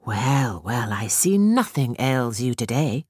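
A woman voicing a loving, worried peasant mother in a storybook, gentle and concerned. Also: a bass voice, very animated, slow pace, slightly rough, dry recording, studio quality, full character voice. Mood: relieved.

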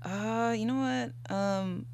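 A woman's voice: fairly high pitch, slow, gravelly, darkish tone, slight background noise. A young woman speaking as an interview guest, chatting casually and a little hesitantly. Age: young adult. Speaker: guest, animator and illustrator.

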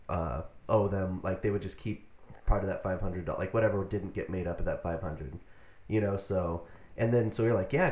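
An American man in his thirties talks casually as an interview guest. His voice sits fairly low and he rambles along conversationally. The sound is roomy and echoey. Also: darkish tone. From Southern California, United States. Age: 30s. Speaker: interview guest, band member. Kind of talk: casual chat.

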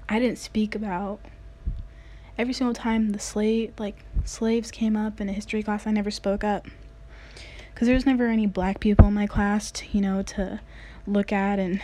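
A woman's voice: mid-range voice, warm tone. A woman in her late teens from the United States, earnestly telling a personal story in a casual way, with many "like" fillers. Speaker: vlogger.

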